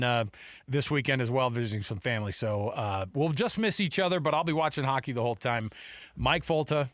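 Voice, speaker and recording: A man's voice: medium pitch, a bit bright, no echo, studio quality. A man hosting a sports radio show in a casual, conversational style.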